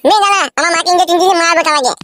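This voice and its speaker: A man voicing a cheeky, whiny small village child in a comic cartoon, with artificially raised pitch. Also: rapid-fire, bright, forceful tone. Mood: cheeky, insistent.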